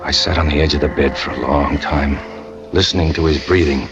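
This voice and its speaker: A man's voice: rumbling low, steady tone, brisk, muffled recording, over music. An actor playing a jealous, tormented young circus catcher who narrates his own story in a 1940s radio drama. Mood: brooding. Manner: brooding first-person confession, rising to anguish. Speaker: narrator and lead character.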